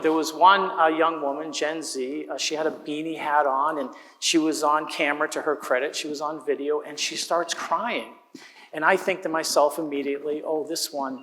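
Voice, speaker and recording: A man's voice: on the high side, a bit bright. A middle-aged man with a Boston accent, telling a story to a live audience as a conference panelist. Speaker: conference panelist, corporate executive. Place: indoor conference hall, on stage. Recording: reverberant conference hall.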